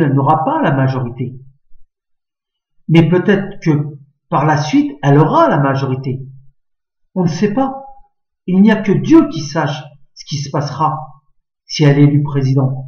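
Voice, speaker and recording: A man born in France, delivering an indignant monologue to his viewers, full of rhetorical questions and repeated "hein". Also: a little high. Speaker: political commentator addressing viewers. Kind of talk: impassioned monologue to camera. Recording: echoey, dull lo-fi audio.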